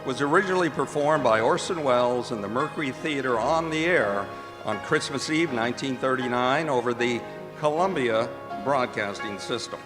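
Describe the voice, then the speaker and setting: A man's voice: medium pitch, moderate pace, over music. A man announcing and narrating a radio play on stage with theatrical, scripted delivery. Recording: reverberant performing arts hall.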